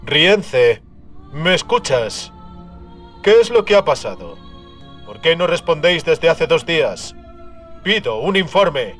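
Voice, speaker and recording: A man's voice: fairly high pitch, sing-song, slow pace, pauses often, a bit bright, faint music behind, pitched above the performer's own voice, full character voice. Man doing an imperious, impatient sorcerer. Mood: impatient, alarmed. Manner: commanding, impatient. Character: imperious sorcerer demanding a report through magical device.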